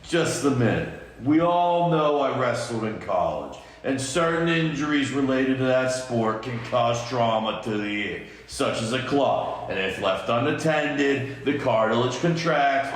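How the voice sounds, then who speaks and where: A man's voice: mid-range voice, animated, a little slow, few pauses, slight background noise. A man, a podcast co-host, reading a film script aloud in an amateur table read. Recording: very echoey room.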